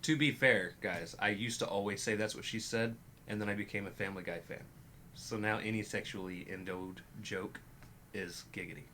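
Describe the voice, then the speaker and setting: A man's voice: lowish voice, fairly even intonation, moderate pace, bright, forceful tone, slight background noise. A man co-hosting a music reaction, chatting casually and cracking playful jokes. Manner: playful, joking. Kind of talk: casual chat.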